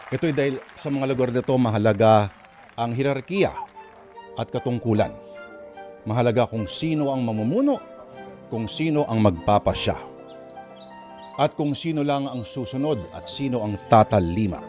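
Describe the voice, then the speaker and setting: A man hosting and narrating a radio drama in a theatrical, emphatic scripted style, pausing between phrases. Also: medium pitch. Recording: music playing behind the voice.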